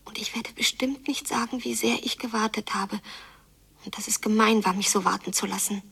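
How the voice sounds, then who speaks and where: Breathy, yearning, hurt young girl. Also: medium pitch, fairly even intonation, moderate pace, fairly bright tone, distant mic, heavily acted. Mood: pleading self-blame. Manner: intense, often dropping into breathy whispering. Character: hurt, yearning girl abandoned by her father. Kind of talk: radio play inner monologue.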